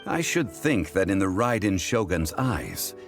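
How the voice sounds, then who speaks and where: An ancient god walking the earth as a dignified mortal gentleman. His deep voice is measured and courtly, with lively rises and falls. It is video game dialogue, with soft music behind it. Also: heavily acted. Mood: grave, explanatory. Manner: measured, formal, courtly and reflective. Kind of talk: scripted game cutscene voice acting.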